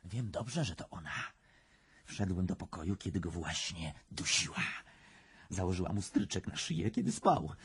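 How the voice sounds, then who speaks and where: An actor playing a troubled, unstable young man under questioning in a radio drama, halting and agitated, with a husky voice. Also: low-pitched, big pitch swings, full character voice. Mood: haunted, urgent. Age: young adult.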